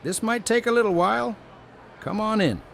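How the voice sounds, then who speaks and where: A man voicing a plump old gnome elder with a creaky voice and quaint, deliberate, formal phrasing, in a fantasy audio story. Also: high voice, fairly bright tone, a faint crowd, a little hiss or hum. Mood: brisk, commanding.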